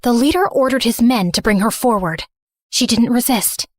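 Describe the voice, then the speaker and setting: A woman's voice: fairly high pitch, moderate pace, no room sound, studio quality, lightly acted. A woman playing a hurt, guilt-ridden teenage girl who narrates her own story in a confessional, dramatic first-person style. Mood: hurt, resolute. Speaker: narrator and main character.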